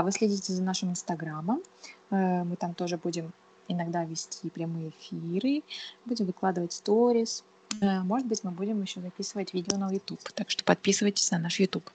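A woman's voice: mid-range voice, mellow, a little hiss or hum, low-quality recording. A woman from Ukraine hosting a casual, conversational podcast. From Kyiv, Ukraine. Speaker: podcast co-host.

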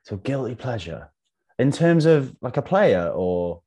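A man's voice: mid-range voice, very animated, unhurried, mellow, slightly rough, highs cut off. A young man from North Wales, a podcast guest, talking casually and laughing at himself. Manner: laid-back, self-deprecating, laughs often. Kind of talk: casual chat.

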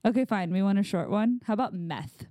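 A woman co-hosting a casual podcast in a relaxed way, reading out listener comments. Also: mid-range voice, brisk, no echo, clean studio sound. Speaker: podcast co-host.

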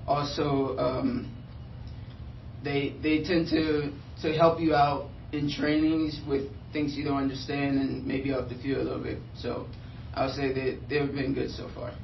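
Young male athlete from Liberia, unsure and filler-heavy, gravelly voice, recorded from a distance with light noise. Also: mid-range voice, flat delivery, a little slow, reverberant, lo-fi sound. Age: young adult. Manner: hesitant, filler-heavy, soft-spoken. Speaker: professional soccer player. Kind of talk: press interview answers.

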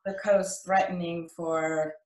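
An older woman from Cuba recounting her life as an interview guest, speaking in measured, halting phrases. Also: on the low side, steady tone, darkish tone. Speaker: interview guest, retired flight attendant. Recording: echoey, roomy sound.

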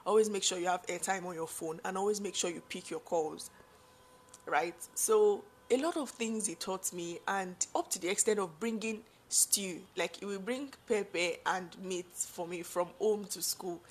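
A young Nigerian woman with a deep voice, chatting to her viewers about her late father, full of asides and fillers. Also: moderate pace, slightly rough. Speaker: vlogger. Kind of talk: personal storytelling to viewers.

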